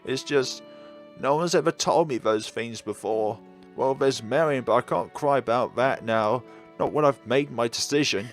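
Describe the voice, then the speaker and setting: A man's voice: mid-range voice, moderate pace, faint music behind, lightly acted. A man reading a young female student's anxious inner thoughts aloud in his own voice. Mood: worried. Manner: worried, self-doubting.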